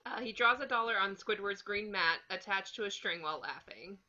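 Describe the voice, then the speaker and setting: A woman's voice: mid-range voice, a little fast, pushed and bright. A woman narrator reading stage directions from a script, recorded with slightly dull, lo-fi sound.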